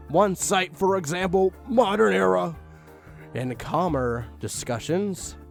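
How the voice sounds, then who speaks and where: A man's voice: a little high, creaky. A man reading a badly written fan story aloud in a mock-dramatic, exasperated way. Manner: exasperated, mock-dramatic, sighing and swinging into outbursts. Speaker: reader of fan fiction.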